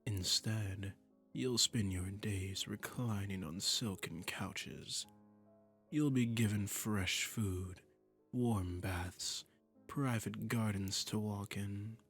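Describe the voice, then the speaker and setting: A man's voice: lowish voice, slow, fairly bright tone, slightly rough, soft background music, heavily acted. A man playing a seductive, commanding demon prince in a romantic roleplay audio drama, speaking in a silky, imperious way and often dropping into a murmur. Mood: possessive, indulgent. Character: seductive, commanding male demon prince claiming a captive singer.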